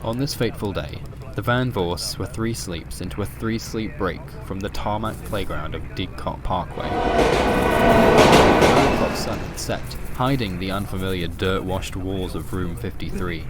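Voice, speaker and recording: A man narrates a scripted horror story as a podcast host, in measured, literary storytelling. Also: low voice, noisy background. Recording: music bed under the voice. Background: music.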